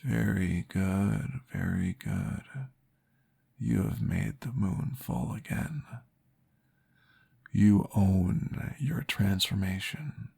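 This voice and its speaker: A man voicing a calm, patient male vampire therapist, soft-spoken and reassuring, often dropping into a whisper in an ASMR roleplay. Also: low voice, drawn-out words, exaggerated voice. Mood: soothing whisper. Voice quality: gravelly, husky. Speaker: therapist guiding a listener.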